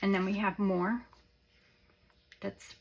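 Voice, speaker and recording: A hoarse woman showing off craft supplies in a casual show-and-tell, gushing softly and often dropping to a whisper. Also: mid-range voice, flat delivery, takes it slowly, background noise. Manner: soft-spoken, gushing, often drops to a whisper. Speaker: craft vlogger.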